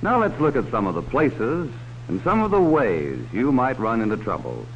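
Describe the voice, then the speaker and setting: A man playing a cautionary narrator of a 1950s educational film, talking directly to children in a folksy way. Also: lowish voice. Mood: grave, instructive. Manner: folksy, direct address to children with leading questions. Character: cautionary male adult narrator warning children about strangers. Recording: dull, hissy 1950s film soundtrack.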